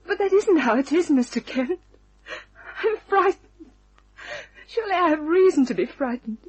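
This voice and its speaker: An actress playing a frightened, pleading young woman in an old-time radio mystery, breathy and husky, speaking haltingly. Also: extremely high pitch, animated.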